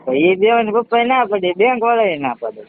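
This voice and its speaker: A high-voiced man speaks casual Saurashtra-accented Gujarati over the phone. He comes across as naive and obstinate, as if he does not follow. The line is narrow and muffled. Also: big pitch swings, brisk, no echo. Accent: Gujarati, Saurashtra colloquial. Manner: stubborn, playing naive and confused. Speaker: prankster answering a marketing call. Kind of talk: casual phone chat, prank call. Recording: muffled narrow-band phone call recording.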